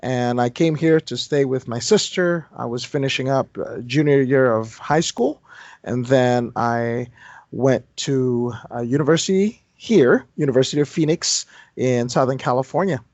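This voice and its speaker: A man in his thirties from Indonesia answers interview questions in a thoughtful, self-deprecating way, pausing to restart his thoughts. His mid-range voice comes through dry and dull, like lo-fi remote audio. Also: medium pitch, darkish tone, no room sound. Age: 30s. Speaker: guest entrepreneur. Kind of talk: interview conversation.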